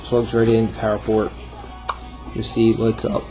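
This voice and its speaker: A man's voice: fairly low pitch, completely flat delivery, a little slow, music in the background, poor audio. A man giving an informal, rambling, self-deprecating walkthrough of something he built, off the cuff. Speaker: hobbyist builder showing a homemade project. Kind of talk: casual off-the-cuff demo narration.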